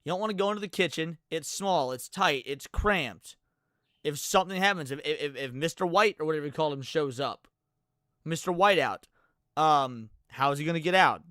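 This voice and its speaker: A man giving casual live reaction commentary as a streamer, animated and full of profane slang. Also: a little high, normal speed, dry recording, studio quality. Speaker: streamer reacting to horror video.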